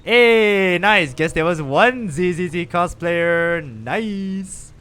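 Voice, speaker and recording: A man chatting casually with viewers and reacting out loud while he plays a game on a live stream. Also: sits high, slow pace, smooth. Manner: chatty, animated, lively reactions while playing.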